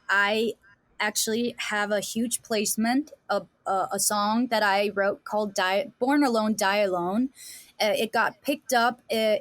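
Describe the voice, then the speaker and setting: A woman's voice: medium pitch, no room sound. Danish-accented woman, podcast guest, rambling with fillers, dry close sound. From Denmark. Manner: rambling with many fillers and restarts. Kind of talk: casual chat.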